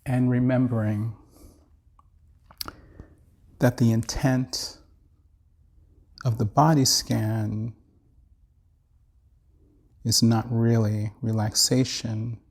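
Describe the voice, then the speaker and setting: Creaky-voiced man slowly guiding meditation. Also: mid-range voice, level tone, darkish tone. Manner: measured, soothing, with long pauses. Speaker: meditation teacher. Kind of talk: guided body scan meditation.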